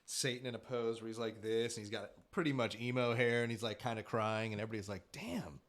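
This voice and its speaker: A man's voice: medium pitch, fairly even intonation, fast, fairly bright tone. Rambling, joking male co-host. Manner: rambling, swears casually, laughs at own jokes. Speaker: livestream co-host. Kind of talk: casual livestream chat.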